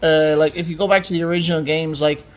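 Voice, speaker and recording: A man's voice: fairly high pitch, fairly even intonation, quick pace, faint music behind. A man co-hosting an informal, conversational chat about games and films. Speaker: podcast co-host.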